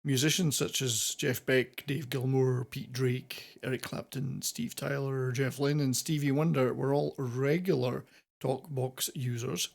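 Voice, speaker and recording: A man with a creaky, gravel-edged voice at a middling pitch presents a music countdown. His delivery is semi-scripted commentary, wordy and playfully florid, in the manner of a rock channel host. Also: fairly even intonation, unhurried.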